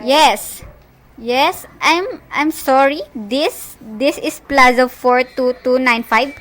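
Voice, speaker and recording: A nervous, demanding wife alone at home, played by a young woman in an amateur radio drama. The voice is high and the lines come slowly, with stumbles over the words. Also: fairly bright tone. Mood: shaken. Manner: halting line delivery, stumbling over words. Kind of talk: amateur radio play acting.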